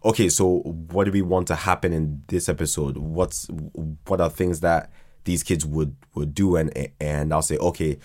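A man with a deep-set, low voice chats as a guest on a podcast. His delivery is informal and halting, with words often doubled or tripled. Slang slips easily into his explanations. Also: steady tone, darkish tone. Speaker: podcast guest, writer of an audio fiction drama. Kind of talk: casual podcast conversation.

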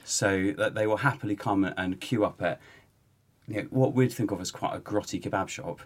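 A man speaks as a guest expert in a casual podcast chat. His voice is very low. He is relaxed and often restarts his sentences. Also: a little fast. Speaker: tourism lecturer, guest expert.

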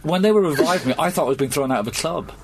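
Male host in his forties, quick teasing delivery, animated. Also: a little high, husky. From Ireland. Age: 40s. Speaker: podcast host. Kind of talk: casual comedy chat.

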